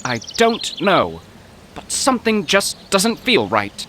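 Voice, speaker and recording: An anxious, bookish male professor, as an actor plays him in an audio drama. His speech is formal and earnest but very lively in pitch, heard through clear background noise. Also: takes it slowly, heavily acted. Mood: uneasy, brooding. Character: gloomy, anxious poetry professor, bookish and suspicious man.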